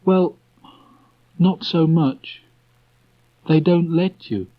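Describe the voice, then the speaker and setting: A man voicing a young boy in a storybook, tender, dreamy and earnest, speaking haltingly. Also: a little high, pitched above the performer's own voice. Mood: hesitant, tender. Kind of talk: storybook character voice. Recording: dull restored cassette tape.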